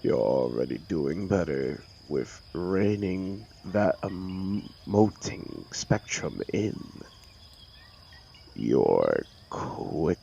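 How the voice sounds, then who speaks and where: Man playing a very deep, gravelly telepathic villain. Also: big pitch swings, lo-fi sound, slight background noise, full character voice. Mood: awkward, tender. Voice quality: gravelly, breathy at times. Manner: slow, theatrical, hushed, commanding yet soothing. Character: brooding telepathic male villain turned gentle protector. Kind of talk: audio roleplay drama, intimate comforting monologue.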